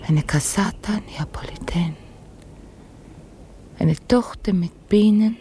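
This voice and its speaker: A woman's voice: medium pitch, slow, mellow, breathy edge, heavily acted. An actress in a radio drama playing an enigmatic, dreamy young Frenchwoman, speaking in an incantatory, intense way. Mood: hushed, trancelike. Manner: incantatory, repetitive, at times whispering. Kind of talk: literary radio drama acting.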